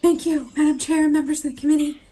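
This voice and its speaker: A woman's voice: high-pitched, flat delivery, fast, dark tone. A woman with a cold and a fading voice, giving testimony over a video call, hesitant with many fillers. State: hoarse from a cold. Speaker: advocacy director testifying. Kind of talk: remote legislative testimony.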